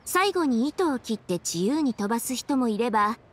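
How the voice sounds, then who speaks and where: Poised, high-voiced young woman official. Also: animated, normal speed. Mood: pleased, reflective. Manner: composed, articulate, explanatory. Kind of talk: anime video game voice acting.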